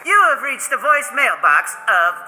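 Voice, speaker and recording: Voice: medium pitch, big pitch swings, moderate pace, long reverb tail, loud music behind the voice, natural voice. A performer voicing an automated voicemail announcer reading a recorded greeting. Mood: neutral, automated.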